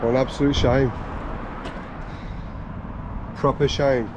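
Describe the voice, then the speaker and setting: A man's voice: mid-range voice, flat delivery, slow pace, lo-fi sound. A man in his thirties vlogging outdoors, chatting casually and enthusiastically about old cars while filming on an action camera. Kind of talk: casual walkaround chat. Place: outdoors on a street. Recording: noisy outdoor street recording.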